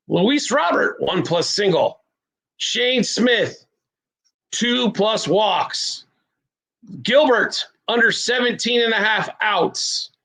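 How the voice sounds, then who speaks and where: A man's voice: high-pitched, gravelly, bright, forceful tone, rough recording. A man from the American Midwest hosting a sports betting show, rambling bluntly through picks with self-deprecating asides. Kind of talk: casual sports betting talk.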